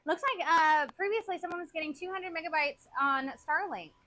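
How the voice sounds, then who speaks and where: A woman co-hosting a cooking stream, reading out chat and teasing her husband in quick banter. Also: very high-pitched, sharp tone, a little hiss or hum. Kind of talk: casual live-stream chat.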